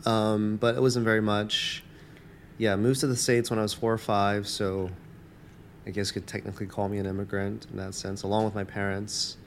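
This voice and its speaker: A man's voice: lowish voice, level tone, moderate pace, a little hiss or hum. A man born in Taiwan, a campus minister, talking reflectively in an interview with many fillers and restarts.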